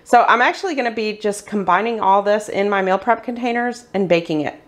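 A woman's voice: medium pitch, a little fast. A middle-aged woman, chatting step by step through a meal prep tutorial as host of her cooking channel. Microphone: voice-over while hands cook on camera.

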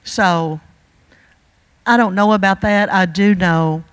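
Slow, earnest older woman at a podium. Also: mid-range voice, clear, resonant tone, no echo. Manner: earnest, with many fillers and pauses. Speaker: teacher presenting awards. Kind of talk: heartfelt speech at a school ceremony. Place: school auditorium stage at a podium. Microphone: podium microphone.

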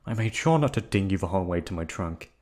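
A man's voice: deep voice, animated, fast talker, a little mellow. A man voicing an obsessive male yandere hotel receptionist in an ASMR drama, silky and polite, sliding into menace and close whispers. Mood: angry. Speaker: voice actor. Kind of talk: ASMR roleplay audio drama.

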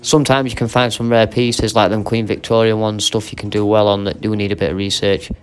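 A man's voice: fairly low pitch, level tone, a little fast. A man with an English accent giving casual, informal vlog commentary to viewers, chatting to them like mates. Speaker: video host and reseller. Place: indoor auction warehouse.

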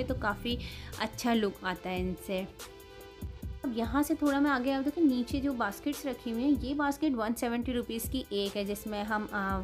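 Chatty woman with Pakistani Urdu accent. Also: medium pitch. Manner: chatty, frank, judging prices and quality item by item. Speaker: vlogger. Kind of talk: casual shopping haul vlog. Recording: voice-over with loud background music. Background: music.

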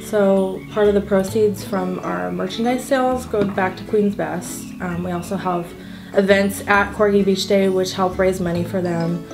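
A woman in her forties answers interview questions at a table in a room, chatty and upbeat, with a lot of little ums. Her pitch sits in an ordinary middle range. Soft music plays clearly underneath her. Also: fairly even intonation, normal speed, rough recording. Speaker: event co-founder.

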